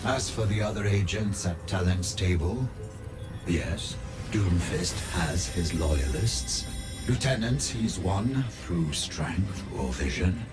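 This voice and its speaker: An actor playing a smooth, calculating criminal strategist in a video game cutscene, speaking with polite, measured flattery. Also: male voice, low-pitched, over music. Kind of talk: video game cinematic voice acting.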